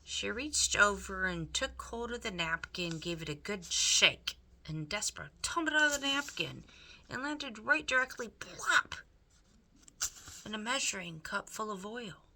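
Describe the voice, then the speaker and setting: Bright, expressive woman reading a storybook, unhurried. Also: mid-range voice. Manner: dramatic, addressing the listener directly. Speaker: teacher reading aloud. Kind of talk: expressive storybook narration for children.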